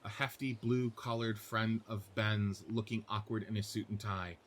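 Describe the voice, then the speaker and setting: A man's voice: fairly low pitch, flat delivery, normal speed. A man narrating screenplay scene directions as a podcast host and reader. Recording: faint background noise.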